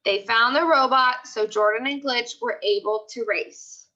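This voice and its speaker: A woman's voice: on the high side, unhurried, pushed and bright. A woman teacher reading a story aloud and questioning pupils in an instructional way, often repeating her phrases. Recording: dull lo-fi audio.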